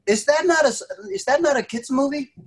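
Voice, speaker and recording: A middle-aged man telling a playful story to children as their instructor, theatrical, with drawn-out emphasis and pauses. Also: extremely high pitch, expressive. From an island country. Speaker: martial arts instructor telling stories to children. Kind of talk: playful interactive storytelling for kids. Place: indoor wood-panelled studio, on a video call.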